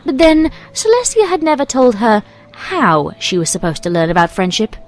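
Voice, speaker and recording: A woman's voice: on the high side, sing-song, normal speed. A woman reading scripted storybook narration as the narrator.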